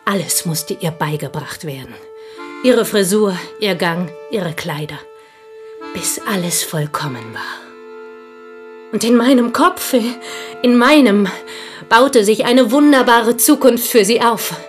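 A possessive village schoolteacher in a radio drama, with a mid-pitched voice. She confides with deliberate intensity, often sinking into breathy hush. Faint music runs behind her. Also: animated. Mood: possessive, intense. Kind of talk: German radio drama acting.

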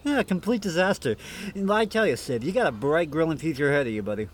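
A man's voice: fairly high pitch, animated, fast talker, a little hiss or hum, close to an everyday voice. A man playing a fussy, self-serious and encouraging grilling mentor in a comic radio skit. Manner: exacting, encouraging.

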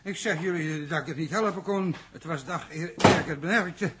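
An actor in a 1960s radio drama playing an earnest, confused and submissive man from an underground city, speaking hesitantly in a husky, gravelly voice. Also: a little high, normal speed, very noisy, lightly acted. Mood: agitated, bewildered. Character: thirsty, confused, submissive male city-dweller from a future underground society. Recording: old 1960s radio recording.

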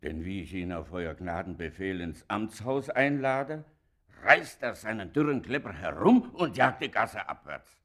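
An actor playing an old, suspicious, servile town gatekeeper in a German radio play, talking in a folksy, excitable, deferential way. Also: male voice, fairly low pitch, measured pace, full character voice. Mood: excited, indignant.

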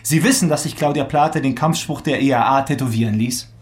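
An actor playing an ironic, needling police detective in a radio crime drama. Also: male voice, mid-range voice, brisk, lightly acted. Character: sardonic male police detective, theorising and needling suspects.

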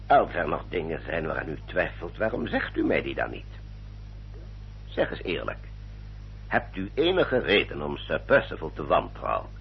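A considerate, formal lawyer with a low voice and very lively intonation. He sounds reasonable and earnest in radio play style, on a dull old recording. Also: moderate pace, gravelly, slight background noise, exaggerated voice. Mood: earnest, probing. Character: formal, reassuring English family lawyer, kindly and reasonable. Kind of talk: Dutch radio play acting.

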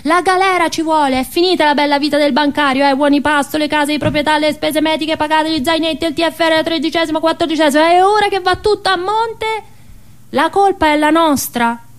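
Woman acting scornful, high-pitched angry protester. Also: fairly even intonation, fairly quick, lightly acted. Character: angry jeering street crowd berating bankers.

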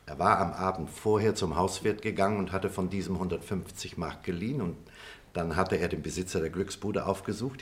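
A low, deep male voice of a middle-aged man looking back on his life. He speaks like a radio-play storyteller, deliberate and expressive, with a reflective temper. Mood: tender reminiscing. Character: reflective middle-aged former pupil telling a childhood memory.

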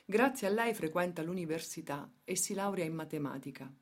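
A woman acting as a grave storyteller in radio theatre, with measured phrasing and dramatic emphasis. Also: on the low side, animated. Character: grave female storyteller recounting workers' tragedies. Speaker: stage and radio actress.

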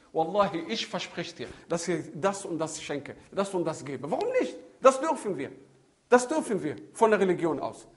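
A man, middle-aged, preaching a religious sermon in an animated storytelling way, acting out dialogues, repeating himself and asking rhetorical questions, with Arabic phrases mixed in. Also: high-pitched. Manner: code-switching into Arabic phrases. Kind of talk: religious sermon on raising children.

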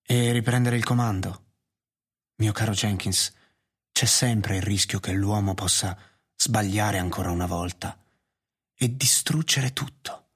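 A male actor playing a weary, reflective human master in a radio drama, doubting and deliberate, pausing between phrases. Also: lowish voice, a bit bright, breathy edge. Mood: pensive doubt. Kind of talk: literary radio theatre narration and acting.

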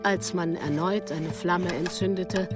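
A woman's voice: lowish voice, fairly even intonation, measured pace. A woman reading a scripted literary narration for a radio piece. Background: music.

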